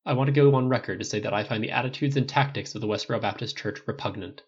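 A man voicing a stern, principled superhero captain who speaks in a tight, firm, deliberate way. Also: mid-range voice, husky, lo-fi sound. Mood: firm, indignant.

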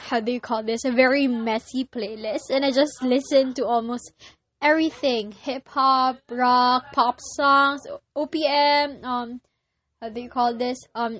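A young woman with a Filipino accent, whose first language is Filipino, hosting a casual solo podcast and often pausing to search for words. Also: sits high. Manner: hesitant, searching for words with many pauses. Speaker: podcast host.

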